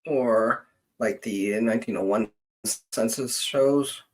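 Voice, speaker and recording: A man hosting a casual live genealogy session, explaining things in a halting, hesitant way and often trailing off mid-sentence. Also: medium pitch.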